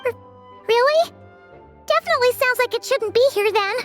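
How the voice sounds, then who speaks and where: A woman voicing a tiny, curious and excitable fairy companion in a video game, who refers to herself in the third person. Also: very high voice, normal speed, soft background music. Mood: startled. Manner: talks about herself in third person, stammers when startled.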